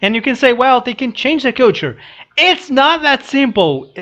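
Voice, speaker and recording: A young adult man giving casual read-along commentary, halting and restarting his phrases as he goes. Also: extremely high pitch, sing-song, bright, forceful tone, muffled recording. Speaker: reaction video host. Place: small room indoors. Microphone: headset boom mic.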